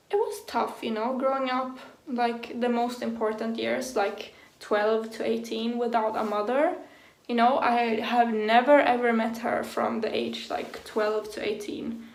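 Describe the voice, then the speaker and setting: A young Swedish woman talking frankly to the camera in accented English, her fairly high voice full of vocal fry. She speaks slowly and loosely, swearing often and leaning on "like" as a filler, with nervous little laughs. The room around her rings with a noticeable echo. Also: little pitch movement. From Sweden. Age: young adult. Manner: casual, swears freely, heavy use of "like", nervous laughs. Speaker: vlogger. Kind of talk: personal confessional talk to camera.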